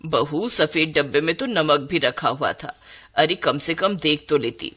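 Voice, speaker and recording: Woman acting a fretful scolding mother-in-law, low-pitched. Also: animated, unhurried, a bit bright, husky, full character voice. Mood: exasperated scolding. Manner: scolding, dramatic exclamations. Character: exasperated middle-aged Indian mother-in-law, scolding and fretful.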